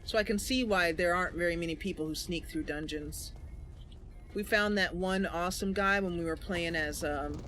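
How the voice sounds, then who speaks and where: A woman's voice: lowish voice, moderate pace, a bit bright, a little hiss or hum. A woman hosting a gaming channel, giving casual, chatty commentary to viewers as she plays. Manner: chatty, talking to viewers while playing.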